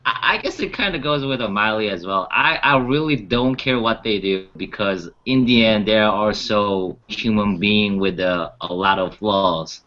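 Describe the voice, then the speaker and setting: Male panelist, lowish pitch, informal conversation, mildly muffled recording. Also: normal speed, hardly any pauses, bright, forceful tone. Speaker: panelist on a music talk show. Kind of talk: casual chat. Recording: slightly dull, muffled audio.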